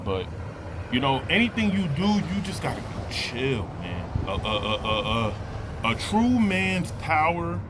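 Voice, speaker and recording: A man's voice: mid-range voice, background noise. A man in his late thirties talking casually and off the cuff to viewers, using colloquial street slang. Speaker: vlogger giving a personal testimony. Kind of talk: casual unscripted personal talk to viewers.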